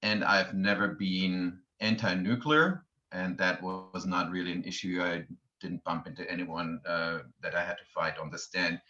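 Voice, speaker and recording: A German man with a German accent, a podcast guest speaking in a measured, pausing way. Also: low-pitched, flat delivery, bright, forceful tone. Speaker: guest, nuclear advocate. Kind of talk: conversational interview answer. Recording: dull remote audio.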